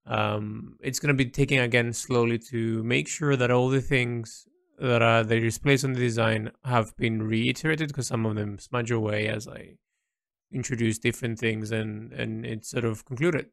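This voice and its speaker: A man recording a reflective, unscripted audio diary, thinking aloud haltingly with repeats, in a creaky voice. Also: mid-range voice, fairly even intonation, no echo, clean studio sound. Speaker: painter recording an audio log.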